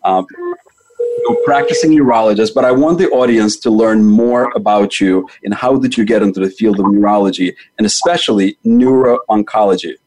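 Husky-voiced man hosting a health show. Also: fairly low pitch, moderate pace, a little mellow, poor audio. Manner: emphatic, repeats key figures and words for stress. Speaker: host. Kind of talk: scripted show introduction and interviewing.

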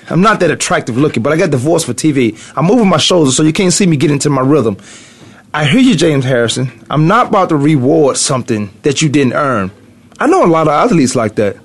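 Brief: middle-aged male sports radio host, slightly high voice, loose rambling delivery, studio mic. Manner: loose, rambling, thinking aloud with repeats. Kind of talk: morning sports talk radio monologue.

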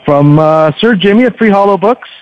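A man who is a podcaster, chatting casually as an interview guest in a halting, affable way. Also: high-pitched, lively intonation, clean tone. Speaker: podcaster guest plugging his show. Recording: muffled internet radio connection.

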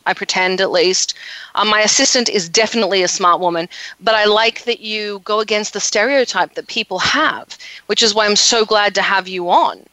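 A bright, forceful woman hosts a casual radio interview. She is outspoken and emphatic but conversational, with a mid-range pitch and a close, dry studio-like sound. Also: normal speed, no echo, rough recording. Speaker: radio show host.

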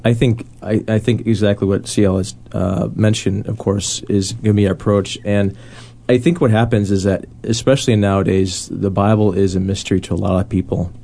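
A man's voice: lowish voice, fairly even intonation, brisk, creaky, hardly any pauses, mellow. A man on a discussion panel, explaining casually and loosely, restarting phrases as he goes. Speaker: panelist on a Bible study discussion.

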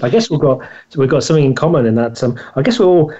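A man from the United Kingdom hosting an interview show, asking relaxed, friendly questions. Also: mid-range voice, quick pace, slightly rough, dull audio. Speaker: podcast host. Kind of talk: casual interview conversation.

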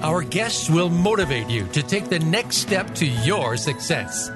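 A man's voice: a little high, sing-song, unhurried. A man reading a scripted show introduction in an announcer's manner. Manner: announcer delivery. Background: music.

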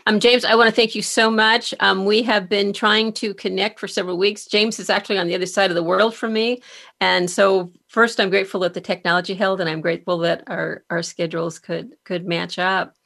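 A woman's voice: medium pitch, flat delivery, a little fast, creaky, fairly bright tone. A woman hosting a conversational interview in an encouraging, appreciative manner. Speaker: podcast host and career author.

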